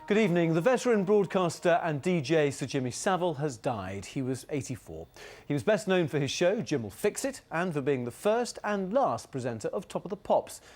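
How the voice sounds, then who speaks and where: A man's voice: a little high, sing-song, moderate pace, darkish tone, soft background music. A man presenting television news in a formal style, as an anchor in a studio.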